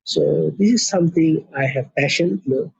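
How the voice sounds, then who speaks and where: A man's voice: a little high, normal speed, gravelly, breathy edge, dry recording. A middle-aged man from Bangladesh, a company co-founder being interviewed, talking earnestly with many fillers. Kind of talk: casual interview. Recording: muffled, dry lo-fi sound.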